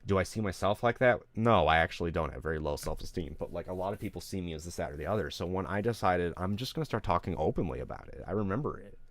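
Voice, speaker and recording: A man's voice: sits low, expressive, fast talker, few pauses, dry recording. A streamer man ranting candidly to his live audience, rambling, emotional and full of swearing. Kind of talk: candid venting on a livestream.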